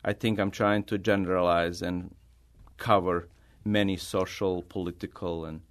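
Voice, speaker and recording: A middle-aged man from Bosnia with a Bosnian accent, a native Bosnian speaker answering interview questions as an artist in halting, non-native English. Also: low voice, fairly even intonation. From Bosnia, former Yugoslavia. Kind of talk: conversational interview answers, personal storytelling.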